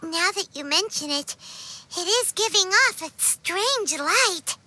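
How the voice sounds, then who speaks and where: Squeaky, excitable childlike fairy companion. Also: female voice, slow, a little hiss or hum, heavily acted. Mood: surprised. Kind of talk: video game cutscene acting. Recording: loud music behind the voice. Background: game music.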